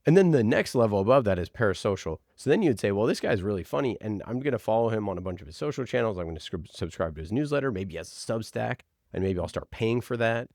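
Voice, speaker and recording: A man with a low voice explains ideas as an interview guest. He speaks informally and haltingly, working through examples aloud. The sound is dry, with very little room in it. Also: animated, darkish tone, no room sound. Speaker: interview guest, podcast producer. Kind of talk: conversational explaining.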